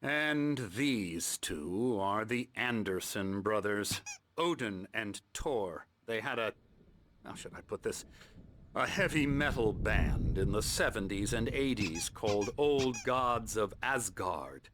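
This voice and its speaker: A condescending middle-aged male psychiatrist from a video game. His voice sits a little low and moves expressively as he lectures in a measured, patronizing tone. There is clear noise behind him. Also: expressive. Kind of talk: video game cutscene acting.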